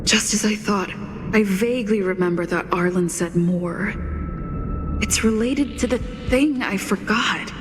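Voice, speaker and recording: A woman's voice: medium pitch, a little slow, noisy background, lightly acted. A woman playing a pensive investigator in a video game, musing to herself over clues. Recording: long reverb, background music.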